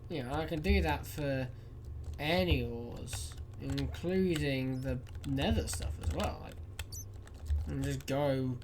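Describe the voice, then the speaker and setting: A woman's voice: a bass voice, takes it slowly, darkish tone, a little hiss or hum. A woman from England with an English accent, tired and rambling through casual gameplay commentary. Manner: chatty, rambling, self-deprecating. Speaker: gaming YouTuber.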